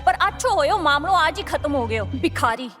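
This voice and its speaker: A scornful young woman of an audio drama with a Haryanvi accent. Her voice is extremely high and scolding, full of haughty contempt, with music clearly behind it. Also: expressive, moderate pace. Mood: scornful, disgusted. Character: scornful, money-minded young woman berating a man. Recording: music under the voice.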